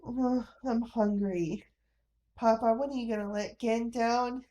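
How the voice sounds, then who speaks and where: Woman voicing little boy, mischievous younger brother, taunting, childish, high-pitched, audiobook character. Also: steady tone, a little slow, warm tone, close to an everyday voice. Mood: sulky.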